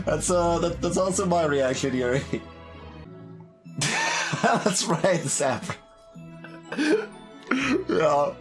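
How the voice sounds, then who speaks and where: A man's voice: a little high, big pitch swings, very slow, long pauses. A man chatting casually on a live game stream, rambling and wryly joking as he goes. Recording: music behind the voice. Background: background music.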